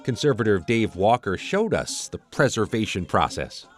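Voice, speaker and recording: A man's voice: fairly low pitch, sing-song, moderate pace. An American man narrating a scripted television news feature as a correspondent. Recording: music bed under voice. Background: music.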